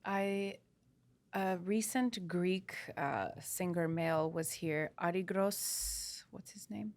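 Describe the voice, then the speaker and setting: A woman's voice: fairly low pitch, slow pace. A woman hosting a podcast interview, chatting casually and encouragingly, often restarting her sentences. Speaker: podcast host.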